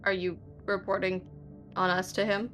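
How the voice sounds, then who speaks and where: A woman's voice: medium pitch, little pitch movement, moderate pace, fairly bright tone, faint music behind, voice pushed down, close to an everyday voice. A woman playing a blunt, suspicious, protective adventurer in a tabletop roleplay, firing off pointed questions. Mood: accusing.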